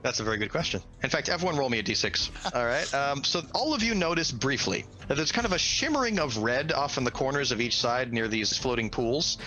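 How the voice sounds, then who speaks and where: A man's voice: medium pitch, lively intonation, a little fast, hardly any pauses, pushed and bright, rough recording. A man narrating a tabletop fantasy game as game master, telling it dramatically with casual asides.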